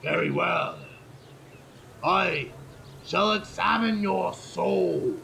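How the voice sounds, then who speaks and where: A man's voice: high voice, drawn-out words, gravelly, sharp tone, a little hiss or hum, exaggerated voice. A man voicing a solemn judging spirit in a fantasy audio drama, commanding and portentous in archaic phrases. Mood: ominous, solemn.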